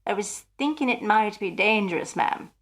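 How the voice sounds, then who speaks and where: A woman's voice: mid-range voice, animated, moderate pace, fairly bright tone, natural voice. A woman playing a polite, deferential housemaid in a radio drama, helpful and eager to please. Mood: helpful, concerned. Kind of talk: radio thriller acting.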